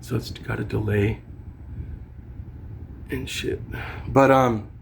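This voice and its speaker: A man with an average-pitched voice rambles casually to camera like a home vlogger. His talk is slangy and loose, peppered with swearing. Faint noise sits behind him in a homemade recording. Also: fairly even intonation, slow pace, creaky. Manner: informal, swearing, slangy, talking as they demonstrate gear. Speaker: vlogger and amateur songwriter.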